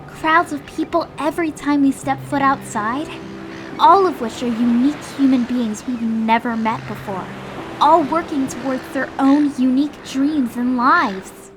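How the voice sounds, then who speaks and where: A woman voicing a gentle, hopeful young girl in a comic dub, speaking tenderly and earnestly with a wistful manner. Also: sits high, measured pace, background noise. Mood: wonder-filled. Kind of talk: scripted comic dub voice acting. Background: music.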